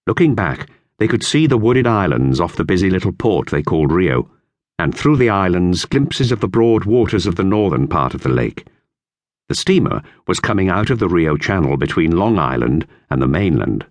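A man narrates a classic children's book in a low voice with lively, expressive intonation. His storytelling is measured, and the recording is close and dry. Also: no room sound. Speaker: audiobook narrator.

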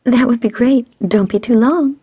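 A woman's voice: a little high, animated, normal speed, dark tone, slightly rough, no echo, voice pushed up. A woman narrator playing a flirty, pouting single woman with a teasing whine in an audiobook story.

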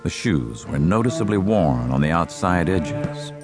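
A man with a very low voice narrates a western story. He reads scripted prose in a measured, dramatic storytelling way, with clear music beneath him. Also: big pitch swings. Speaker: audiobook narrator.

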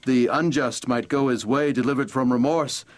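An actor playing an earnest, idealistic, guilt-ridden Victorian gentleman doctor, speaking formally and eloquently in an audio drama. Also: mid-range voice, fairly even intonation, moderate pace, close to an everyday voice. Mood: earnest, brooding. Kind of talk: audio drama, journal narration and dialogue.

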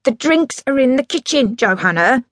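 A woman's voice: on the high side, moderate pace, slight background noise, natural voice. A woman voicing a drunk, boastful, sweary middle-aged father with big musical dreams, in a bombastic, declamatory way, in an audiobook story. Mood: irritable.

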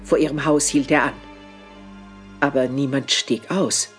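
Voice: mid-range voice, steady tone, measured pace, a bit bright, faint music behind. A narrator reading scripted dramatic narration for a German audio drama.

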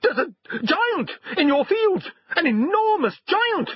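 High, frantic talking dog. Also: male voice, sing-song, takes it slowly, dry recording, dull audio, exaggerated voice. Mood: frantic alarm. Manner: frantic, exclamatory cries. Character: frightened farm dog, male, yelping and pleading. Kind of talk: animated storybook reading.

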